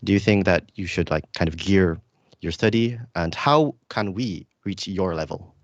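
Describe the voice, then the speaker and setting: A man with a very deep voice co-hosts a podcast, phrasing his question hesitantly as he searches for words. His audio is dry and a little muffled. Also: normal speed, no echo. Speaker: podcast co-host. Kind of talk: casual podcast conversation.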